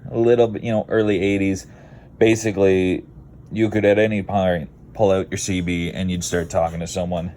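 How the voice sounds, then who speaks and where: A man's voice: deep voice, fairly even intonation, moderate pace, dark tone, a little hiss or hum. A Canadian man giving a casual, rambling how-to vlog in a laid-back, blunt, digressive way. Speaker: car video creator.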